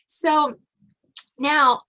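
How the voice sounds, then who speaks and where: A woman's voice: sits high, fairly even intonation, very slow, sharp tone. A woman from Virginia with an American accent, hosting a casual, chatty interview in a self-deprecating way, often trailing off into asides. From Virginia, United States. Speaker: podcast host interviewing an author.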